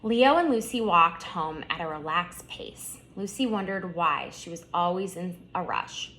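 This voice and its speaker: A woman author narrating a children's storybook in an expressive read-aloud manner. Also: on the low side, unhurried, rough recording. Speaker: author reading her book aloud.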